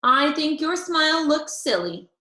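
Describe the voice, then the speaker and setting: A woman's voice: high-pitched, big pitch swings, unhurried, bright, forceful tone, voice pushed up, heavily acted. A woman voicing a snooty, scornful little girl classmate in a storybook, mocking and disdainful. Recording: roomy, echoey sound.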